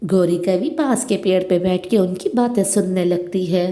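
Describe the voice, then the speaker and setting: A woman's voice: lowish voice, normal speed, warm tone. A woman narrating a scripted children's story as its narrator, with a roomy echo.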